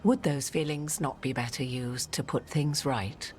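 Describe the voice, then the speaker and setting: A woman's voice: a bass voice, fairly even intonation, measured pace, a little mellow, slight background noise. A woman playing an imperious, wry sorceress in a fantasy game, with haughty, archaic, formal diction. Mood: knowing, instructive.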